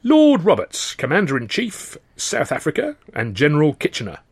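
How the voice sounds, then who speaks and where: Man voicing a fussy, obliging old clerk, high lifted voice, slow stretched words, dry sound. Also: huge pitch swings, vocal fry, breathy edge, dry recording, full character voice. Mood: proud. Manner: fussy, bright, stretched-out delivery. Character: fussy, eager-to-please older male shipping clerk.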